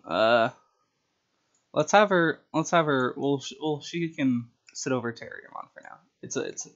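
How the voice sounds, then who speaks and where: A man's voice: mid-range voice, fairly bright tone, dull audio. A man streaming online, who reads his own stories aloud and chats casually. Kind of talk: story narration and casual livestream chat.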